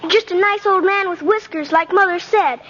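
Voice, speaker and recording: A woman's voice: very high-pitched, a little fast, a bit bright, a little hiss or hum. A female actor playing an earnest, stubborn little girl with a plaintive, direct delivery in 1950s radio style. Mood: disappointed, sulky. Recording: muffled old radio broadcast.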